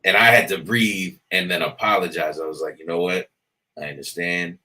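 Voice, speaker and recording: A man with a big, booming voice, thoughtfully talking through a point as a podcast guest. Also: steady tone, pushed and bright. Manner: thoughtful, measured, talks through scenarios. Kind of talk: casual podcast discussion.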